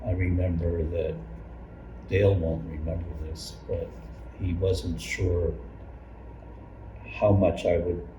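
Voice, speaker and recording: A man recalling church life in a casual group interview, haltingly rephrasing as he goes. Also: low-pitched, level tone. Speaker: church member recalling past challenges. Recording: echoey room, distant mic, clear noise.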